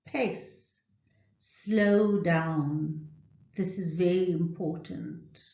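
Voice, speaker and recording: Female presenter, unhurried teaching delivery with careful diction, mid pitch, roomy muffled sound. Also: dark tone. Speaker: literacy programme presenter. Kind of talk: instructional presentation with tips. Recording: roomy, echoing, dull lo-fi audio.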